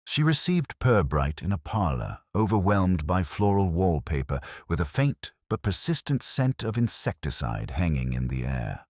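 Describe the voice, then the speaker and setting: A man narrating a mystery story in a measured, expressive way. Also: deep voice, dry recording, studio quality.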